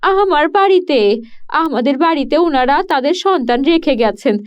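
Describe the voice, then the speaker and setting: A woman voicing a gentle, childless farmer's wife in a cartoon, speaking earnestly. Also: high-pitched, animated, moderate pace, lo-fi sound, voice pushed up.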